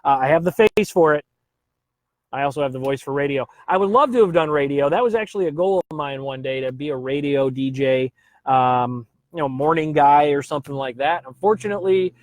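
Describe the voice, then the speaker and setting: A chatty man hosting a live stream from home, his voice a touch higher than most men's. He keeps things upbeat, greets viewers by name and drops easy uhs between thoughts. The sound is close and dry. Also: fairly quick, a little mellow, dry recording. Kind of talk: casual live stream chat.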